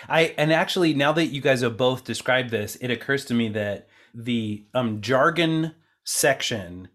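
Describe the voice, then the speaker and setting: A man's voice: mid-range voice, a little slow, fairly bright tone. A man interviewing colleagues in a casual, conversational way. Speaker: interviewer and co-producer.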